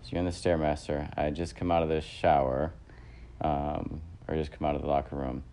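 Man in his thirties, very deep voice. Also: little pitch movement, a little fast, dark tone. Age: 30s. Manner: rambling, self-correcting, many fillers. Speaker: podcast co-host. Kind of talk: casual couple chat.

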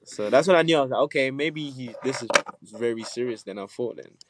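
A man's voice: medium pitch, fairly quick, noisy background. Laid-back man, Nigerian-born guest. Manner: laid-back, laughs often, says "yeah" and "listen". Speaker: musician guest. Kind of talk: casual interview chat.

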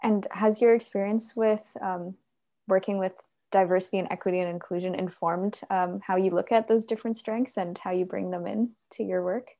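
A woman interviewing a guest, asking measured questions with frequent pauses. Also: mid-range voice, flat delivery, mellow. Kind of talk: conversational interview.

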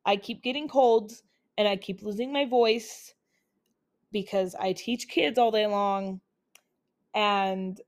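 A woman's voice: mid-range voice, fairly even intonation, takes it slowly, pauses often. A young woman from Utah hosting a casual solo podcast on a laptop's built-in mic, chatty and upbeat with many fillers, her voice still recovering from colds. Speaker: podcast host.

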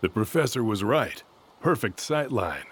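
A man's voice: lowish voice, very animated, a little slow, fairly bright tone, husky, exaggerated voice. A man acting a stern, commanding military officer in an audio drama, giving clipped, authoritative orders. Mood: businesslike.

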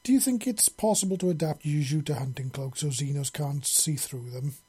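A man's voice: on the high side, brisk, dark tone, breathy edge. A man voicing a furious, embarrassed woman scientist in an audiobook story, snarling and swearing. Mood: flustered. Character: furious, flustered, embarrassed woman scientist, foul-mouthed mother.

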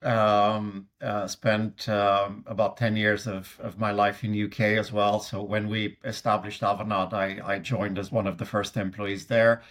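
A man's voice: on the low side, level tone, measured pace, few pauses, a bit bright. A middle-aged man from Sweden with a Swedish accent, a guest answering interview questions in a hesitant way, with many fillers and restarts. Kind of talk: conversational interview.

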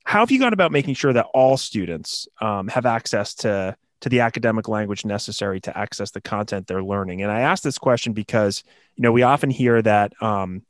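A male interviewer with a lowish voice lays out a long question at a measured pace. He pauses now and then with an um, and the sound is close and dry. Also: lively intonation, no echo.

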